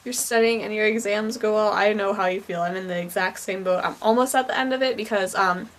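A woman's voice: medium pitch, fairly even intonation, a little fast, slight background noise. A teenage girl vlogging, rambling and self-conscious, with lots of fillers and giggles. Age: teens. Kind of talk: casual chat. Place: car while driving, then a bedroom.

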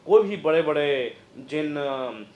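Male political commentator, 30s, Chhattisgarhi accent, higher voice, halting explanations, roomy studio. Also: expressive, highs cut off. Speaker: news presenter and political analyst. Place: indoor news studio, roomy sound. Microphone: lapel mic.

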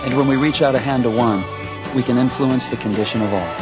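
A man narrates a scripted public service announcement. His voice has a medium pitch. Music plays clearly behind him. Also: quick pace. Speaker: advert narrator.

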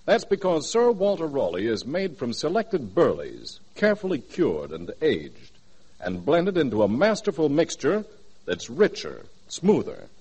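Swooping, husky male radio pitchman. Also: wildly swooping pitch, a little mellow, poor audio. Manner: emphatic, measured salesman phrasing. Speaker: commercial announcer. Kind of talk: 1940s radio ad read, scripted.

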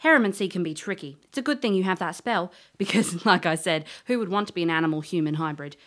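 An actress playing a sharp, wry woman investigator in a fantasy audio drama, inquisitive and plain-spoken. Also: fairly low pitch, quick pace, natural voice. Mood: wry, challenging.

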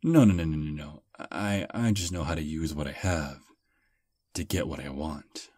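A man playing a deep husky vampire suitor. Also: moderate pace, no room sound, clean studio sound. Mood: calm. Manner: soft, relaxed, self-deprecating. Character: charming young-looking male vampire on a first date. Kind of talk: ASMR roleplay. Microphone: close mic.

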